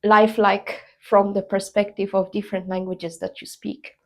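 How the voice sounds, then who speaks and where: A woman interviewer asking unhurried questions. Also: mid-range voice, little pitch movement, darkish tone.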